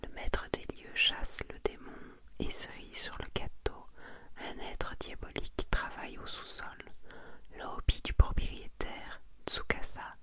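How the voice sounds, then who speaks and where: A woman speaks in a breathy, unvoiced whisper for ASMR. She is intimate and unhurried, shifting between reading aloud and chatty commentary. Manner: soft-spoken, intimate, unhurried. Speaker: ASMR host presenting a manga collection.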